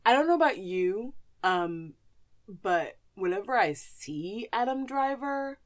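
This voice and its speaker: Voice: mid-range voice, animated, takes it slowly. A woman podcast host chatting casually and informally about films and actors, with some slang.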